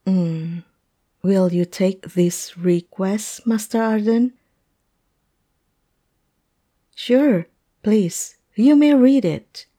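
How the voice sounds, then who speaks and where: Woman playing a hesitant heartbroken woman, slightly low pitch, unhurried halting delivery, courteous, fantasy audio roleplay. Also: warm tone. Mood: wistful, sad, then polite, hopeful. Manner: hesitant, halting, polite, breathy sighs. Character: hesitant heartbroken woman client, polite and grateful.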